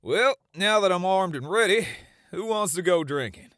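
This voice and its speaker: An actor playing an easygoing but tough cowboy in an audiobook drama, with a folksy American Western drawl. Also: male voice, sits high, animated, normal speed, fairly bright tone, dry recording, studio quality. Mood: hearty, welcoming. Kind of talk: audiobook drama character acting. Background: music.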